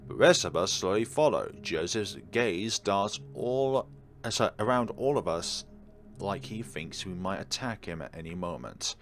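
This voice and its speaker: A man's voice: sits low, lively intonation, moderate pace, soft background music. A man reading game narration aloud as a let's play commentator, adding wry casual asides. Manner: wry interjections, agreeing asides.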